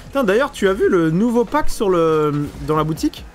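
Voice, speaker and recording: A young man playing a game and chatting, with a lively, bouncy pitch that sits a bit high for a man. He teases and jokes constantly, recorded on a desk mic at home with faint music underneath. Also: brisk. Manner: joking, teasing, animated. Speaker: streamer. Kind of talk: casual gaming commentary. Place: home streaming room. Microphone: desk microphone with headset.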